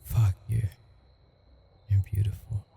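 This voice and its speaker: A man voicing a gentle, teasing male ghost who speaks softly and intimately in an ASMR audio roleplay, chuckling often. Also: lowish voice, little pitch movement, takes it slowly, vocal fry, a little hiss or hum, full character voice. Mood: tender.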